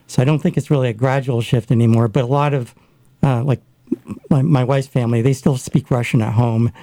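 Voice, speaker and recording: A man chatting in a casual discussion, his speech halting and full of restarts. His voice is dark and mellow, with a middle pitch. The recording is dry and close. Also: steady tone, no echo. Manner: halting, many fillers and restarts. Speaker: guest discussing Ukraine.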